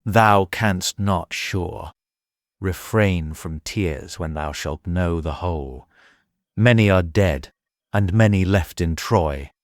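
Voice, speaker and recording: Audio drama role: aged sea god, solemn and reluctant, deep register, archaic oratory, dry recording. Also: male voice, dry recording. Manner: grave, archaic, declamatory. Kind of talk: dramatized epic audiobook acting.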